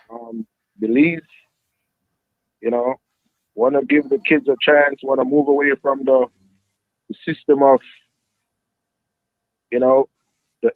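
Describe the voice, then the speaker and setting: A man's voice: mid-range voice, no room sound. Jamaican man, football coach, reflective, fills with "you know", phone-like dry sound. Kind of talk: interview answers. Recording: dry tinny phone-like line.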